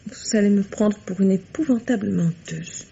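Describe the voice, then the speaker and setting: Female murder suspect, old radio drama: mellow, breathy tone, hesitant, guarded, quietly pleading. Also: mid-range voice, moderate pace. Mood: defensive, anxious. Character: evasive, wounded young woman suspected of murdering her husband. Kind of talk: 1950s French radio mystery acting. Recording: muffled vintage radio drama sound.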